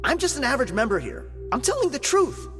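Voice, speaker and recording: A cowardly stammering bandit. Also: male voice, very high voice, sing-song, quick pace. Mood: nervous. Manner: nervous, stammering, defensive. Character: cowardly male bandit guard, evasive and whiny. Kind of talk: video game voice acting. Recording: game audio with reverb and music.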